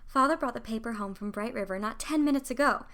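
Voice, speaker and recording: A woman playing an excitable, devoted teenage best friend in a dramatised audiobook, talking in a breathless gush. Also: fairly high pitch, quick pace. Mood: excited, gushing. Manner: breathless, gushing. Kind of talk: dramatised audiobook character acting.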